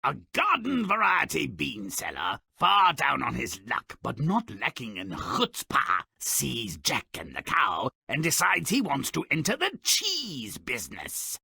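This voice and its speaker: A man voicing a grumpy, gleefully malicious little imp who tells fairy tales in a video game, gravelly, raspy, theatrical and mocking. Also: medium pitch, wildly swooping pitch, a little slow, hardly any pauses, pushed and bright, no room sound, studio quality, exaggerated voice. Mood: sardonic, mischievous. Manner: mocking, theatrical, relishing misfortune. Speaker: storyteller character of a fairy tale game. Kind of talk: theatrical storybook narration with game quips.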